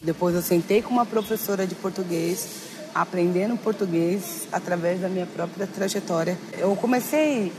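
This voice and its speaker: A woman's voice: lowish voice, moderate pace, music in the background, low-quality recording. A woman from Brazil with a Brazilian accent, giving conversational personal testimony as an interviewee. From São Paulo, Brazil. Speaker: interviewee, writer telling her life story.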